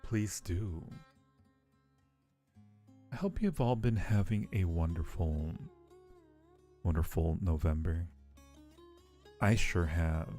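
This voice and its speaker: A man's voice: low-pitched, animated, slow, long pauses, dark tone, soft background music. A man chatting casually and playfully with viewers on a livestream, teasing them affectionately. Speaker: live streamer hosting a chat.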